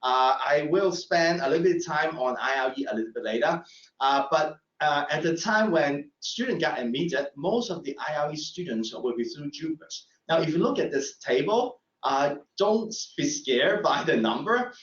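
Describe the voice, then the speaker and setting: A man's voice: a little high, expressive, a bit bright, distant mic. A middle-aged professor explains admissions in a slightly raised voice, hesitating and restarting often. He sounds set back from the mic in an echoey room. The sound is a little dull. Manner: halting, many fillers and restarts. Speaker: professor presenting admissions talk. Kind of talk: live webinar presentation.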